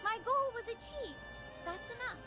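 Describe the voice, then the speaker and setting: Very high-voiced proud young princess. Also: female voice, expressive, measured pace. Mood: resolute. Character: proud, flustered young princess with a secret soft side. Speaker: voice actor in a fantasy game. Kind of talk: dramatic game voice acting. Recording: game music playing behind.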